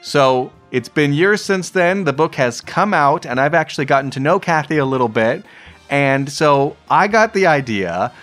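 A man's voice: on the high side, animated, moderate pace, a bit bright. Man hosting a podcast over faint music. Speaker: podcast host. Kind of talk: conversational podcast introduction.

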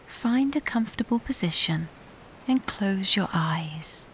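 Slow, whispery woman guiding meditation. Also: mid-range voice, poor audio. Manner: calm, soothing, unhurried with long pauses. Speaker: meditation guide. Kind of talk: scripted guided meditation. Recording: steady background hiss.

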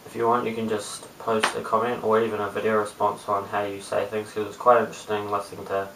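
A young man in his late teens with a New Zealand accent, chatting casually to camera in a low-key, hesitant mumble. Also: completely flat delivery, fast, creaky, fairly bright tone. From Christchurch, New Zealand. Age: young adult, late teens. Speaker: amateur video blogger. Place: small bare room indoors. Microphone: webcam at a short distance. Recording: roomy, distant webcam mic with hiss.

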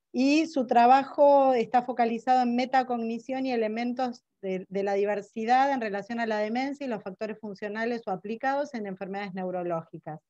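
A woman's voice: on the high side, warm tone, no echo. A woman moderating a symposium, formally introducing the next speaker over a video call. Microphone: laptop microphone on video call. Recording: dry, muffled video call audio.

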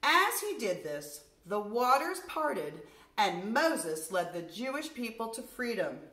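A middle-aged woman, a nursery school teacher, reading a story aloud to young children in an expressive, deliberate way. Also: fairly high pitch. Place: furnished room at home, indoors. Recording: roomy indoor echo.

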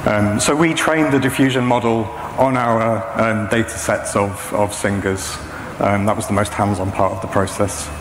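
A man's voice: on the low side, steady tone, normal speed. Man presenting in an echoey, noisy hall. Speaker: presenter, saxophonist and machine learning practitioner. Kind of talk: technical conference talk. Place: indoor stage at a talk venue, before a projection screen.

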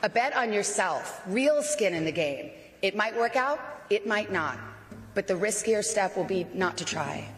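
A woman's voice: medium pitch, animated, measured pace, big-room sound, background noise. A woman in her forties giving a commencement speech, playful and rousing, from a podium mic in a large tent. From Arizona. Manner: warm, playful, rousing oratory. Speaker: commencement speaker. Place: large outdoor tent at a graduation.

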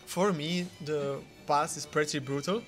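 A man's voice: a little high, fairly even intonation, measured pace, fairly bright tone, soft background music. A man with a hesitant delivery, searching for his words in English as he answers an interview question in a café. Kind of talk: casual street interview answer.

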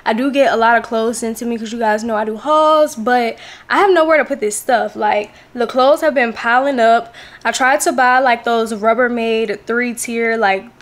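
A young American woman chatting casually to her viewers as a lifestyle vlogger, with a slangy, familiar way of talking. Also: a little high. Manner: slangy, familiar, addresses viewers as besties and girl.

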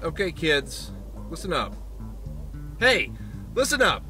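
An actor playing a grumpy, unimpressed driving instructor and coach in a comic game, deadpan and grudging. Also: male voice, a little high, slow, music in the background, exaggerated voice. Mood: barking. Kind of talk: comic game cutscene acting.

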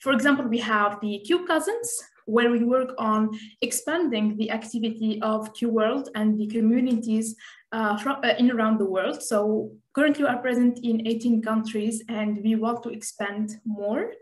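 A woman's voice: a little high, normal speed. A woman in her twenties from Tunisia with a Tunisian accent gives an explanatory online presentation over a video call, with frequent hesitations. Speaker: presenter, community representative. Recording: roomy video call sound.

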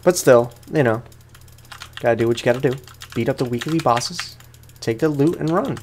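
A young man giving casual, slangy let's play commentary with animated, playfully exasperated reactions, as a gaming YouTuber. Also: mid-range voice, moderate pace, a little hiss or hum. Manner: slangy, informal.

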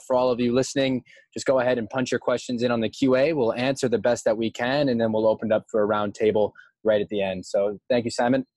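A man's voice: medium pitch, a little mellow. A man opens a webinar, thanking partners and handing over to the guest speaker. His mid-range voice sounds close and dry, with no room echo. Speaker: webinar host. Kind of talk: hosting introduction. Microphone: video call.

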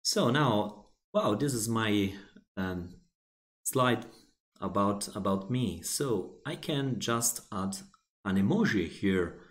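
Slow, low-voiced man walking through software. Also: lively intonation. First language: Italian. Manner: plain step-by-step explaining with frequent restarts. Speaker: software tutorial presenter. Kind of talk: screen-recorded software walkthrough.

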